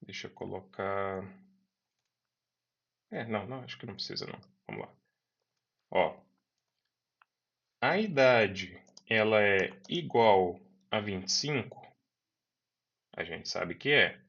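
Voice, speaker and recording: A man's voice: on the low side, lively intonation, slow pace, vocal fry, long pauses, fairly bright tone. A man teaching a programming lesson, talking students through each step and repeating key words. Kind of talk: screen-recorded coding lesson. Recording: dull lo-fi voice recording.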